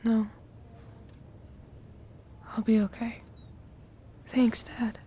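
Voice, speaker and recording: A woman's voice: on the high side, flat delivery, extremely slow, warm tone, a little hiss or hum. A woman playing an injured, affectionate teenage girl in a video game, weak and in pain.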